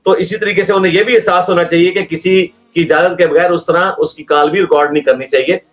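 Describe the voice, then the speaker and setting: A man's voice: high voice, fairly even intonation, fast talker. A man with a Pakistani accent in a question-and-answer talk, arguing emphatically as a religious scholar and throwing out rhetorical questions.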